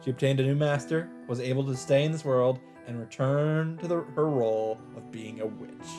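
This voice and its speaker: A man with a mid-pitched voice reads visual novel narration aloud as a let's play host. He delivers it as dramatic storytelling, with game music softly behind him. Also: moderate pace.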